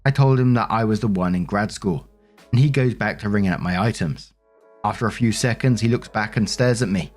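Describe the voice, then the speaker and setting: Low-voiced man narrating stories. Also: a little fast, soft background music. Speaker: narrator and host reading online stories. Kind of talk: scripted story narration with casual spoken commentary.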